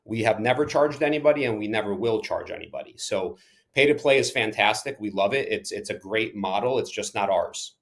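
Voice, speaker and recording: A man's voice: fairly low pitch, brisk. A middle-aged man from Ukraine, a club owner and attorney answering interview questions bluntly and emphatically, stressing and repeating key words.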